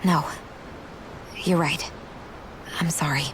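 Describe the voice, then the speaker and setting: A woman's voice: sits low, steady tone, slow pace, noisy background. An actress playing a guarded, troubled young woman in a video game cutscene. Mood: withdrawn, sad. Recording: music behind the voice.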